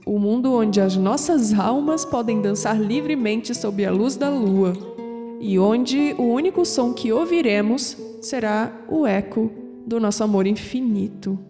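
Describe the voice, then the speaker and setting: A woman playing a young, clumsy, curious granddaughter in an audio drama, expressive, with a Brazilian accent. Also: on the high side, big-room sound. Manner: expressive, musing aloud to herself.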